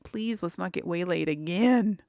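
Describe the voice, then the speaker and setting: A woman's voice: on the low side, a little slow, creaky, mellow, slight background noise. A woman hosting casual video game commentary, thinking aloud as she plays. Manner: thinks aloud while playing. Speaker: gaming host.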